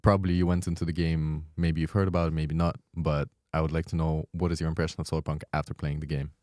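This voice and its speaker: A man's voice: extremely low pitch, little pitch movement, fast talker, a little mellow, no room sound, studio quality. A man hosting and moderating a casual podcast round-table with questions for guests. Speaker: host, discussion moderator.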